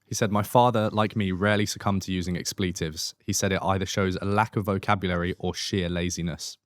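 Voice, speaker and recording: A man reading out listener questions in an even, matter-of-fact way. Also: low-pitched, a little fast, no echo, clean studio sound.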